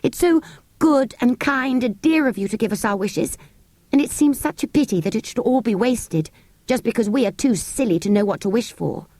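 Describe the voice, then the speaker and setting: Sweet earnest little girl, high and eager, bit pleading, lady reader voicing her. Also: lively intonation, normal speed, dark tone, no echo. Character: earnest polite young girl, eager and gentle. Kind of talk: audiobook character voice.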